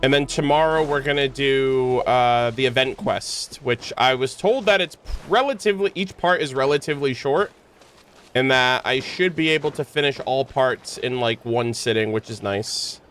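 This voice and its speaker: A man's voice: medium pitch, normal speed, fairly bright tone. Rambling male streamer over music. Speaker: game streamer. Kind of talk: casual livestream chat. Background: background music.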